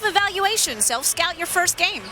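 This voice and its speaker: High-voiced upbeat female sideline reporter. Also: expressive, crowd noise. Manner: upbeat, brisk questioning. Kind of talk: courtside interview.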